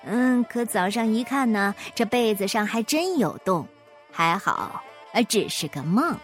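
A woman voicing a calm, sly trickster man who teases the king. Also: medium pitch, measured pace, faint music behind, close to an everyday voice. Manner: calm, teasing.

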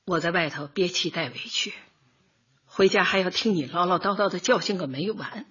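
Feisty, bright-voiced older woman, husky. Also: lowish voice. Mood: hurt, fed up. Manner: blunt, colloquial, quick to retort. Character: feisty older neighborhood woman, square-dance leader, proud and easily wronged. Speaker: lead actress in a radio comedy. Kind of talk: radio drama acting, light comedy. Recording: slightly muffled, dull audio.

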